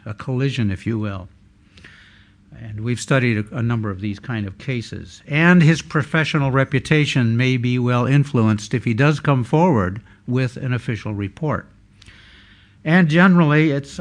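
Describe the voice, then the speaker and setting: An older man delivers a research talk to a workshop in a mid-pitched voice. He speaks in a measured, hesitant way and often pauses as he works through his slides. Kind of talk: conference presentation with slides.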